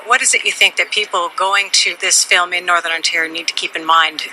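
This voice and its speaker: A woman's voice: lowish voice, steady tone, brisk, pushed and bright. Woman reporter asking questions outside, noisy street recording. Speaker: radio reporter and interviewer. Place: outdoors on a city street. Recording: clear street noise, somewhat off mic.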